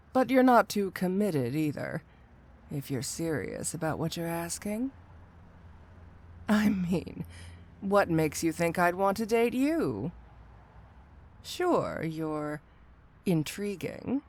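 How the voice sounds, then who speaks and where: A woman's voice: fairly low pitch, a little mellow, exaggerated voice. A woman playing a flirty, sly supervillain cat burglar in an intimate ASMR roleplay, with a coy, drawling, playfully condescending delivery. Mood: coy, skeptical.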